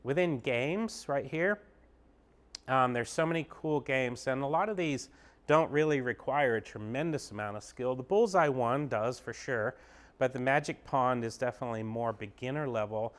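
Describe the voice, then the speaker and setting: A man's voice: medium pitch, moderate pace. A man giving a casual, upbeat walkthrough of an indoor golf simulator bay, explaining each screen as he clicks through it. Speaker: golf pro giving a tour.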